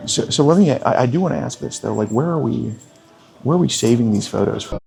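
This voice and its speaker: Halting man calmly questioning someone. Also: medium pitch, gravelly, background noise. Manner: calm, halting, with many restarts. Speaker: sting confrontation host. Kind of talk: confrontational questioning. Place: indoors at a casino.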